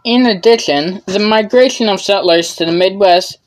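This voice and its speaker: A woman's voice: mid-range voice, normal speed, a little hiss or hum, poor audio. A young woman presenting a history lesson from a script, stumbling and restarting now and then as her voice wears out. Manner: reads carefully with frequent stumbles and restarts. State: losing her voice.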